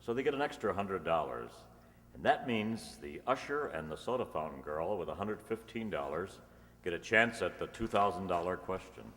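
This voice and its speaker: A man playing a polished old-time radio announcer and pitchman, in a reverberant hall with an audience. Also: lively intonation, moderate pace, fairly bright tone. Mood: upbeat. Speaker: show announcer. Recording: echoey hall with audience noise.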